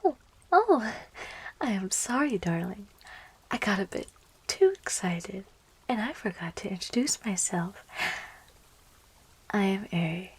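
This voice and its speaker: Woman acting a breathy, lovestruck plant-girl enchantress. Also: mid-range voice, animated, full character voice. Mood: excited, sweet. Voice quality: soft, breathy whisper. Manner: coaxing, cooing, giggly, slow. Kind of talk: whispered ASMR roleplay.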